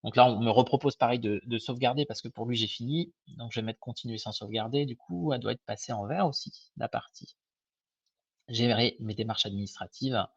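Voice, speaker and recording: Hesitant man, husky voice, lo-fi web call. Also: mid-range voice, brisk, dry recording. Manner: hesitant, many fillers and restarts, friendly and informal. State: slightly hoarse. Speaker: microfinance advisor presenting a webinar. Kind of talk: casual live webinar presentation. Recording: dull, lo-fi web call audio.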